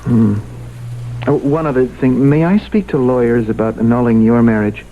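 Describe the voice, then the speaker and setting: An actor playing a stuffy, protective grown son in a radio drama, earnest and proper in manner. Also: male voice, mid-range voice, moderate pace, close to an everyday voice. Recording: muffled vintage radio broadcast, faint noise.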